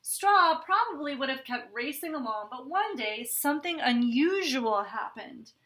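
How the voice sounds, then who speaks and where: A woman's voice: sits high, lively intonation, a little slow, pushed and bright. A woman reading a picture book aloud to children, adding chatty, explanatory asides as a teacher would.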